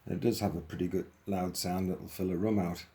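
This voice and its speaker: Very low-voiced man explaining casually. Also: steady tone, a little fast, warm tone. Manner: informal, thinking aloud. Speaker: hobbyist presenter demonstrating a modified radio.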